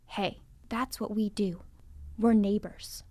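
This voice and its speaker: A woman's voice: medium pitch, fairly even intonation, takes it slowly, dark tone, dry recording. A woman playing a practical, loyal small-town friend who takes the lead, casual and reassuring, in an improvised radio drama. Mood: reassuring, resolved.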